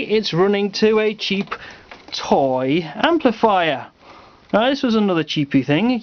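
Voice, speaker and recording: A British man giving a casual, halting hobbyist demonstration at home, explaining things offhandedly. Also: fairly high pitch. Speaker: hobbyist presenter. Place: small cluttered room at home. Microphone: handheld camera microphone. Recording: slightly muffled lo-fi camera audio.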